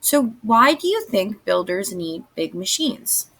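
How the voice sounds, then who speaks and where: A woman's voice: medium pitch, big pitch swings, slow pace, a bit bright, husky. A woman teaching young children, giving instructions and guiding questions in a read-aloud lesson. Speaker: elementary school teacher.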